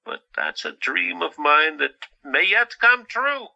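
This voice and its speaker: An actor playing a stern, dismissive senior doctor who secretly cares, in an audio drama. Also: male voice, a little high, huge pitch swings, vocal fry, bright, forceful tone, dull audio, exaggerated voice. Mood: brisk, wry. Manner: stern, measured.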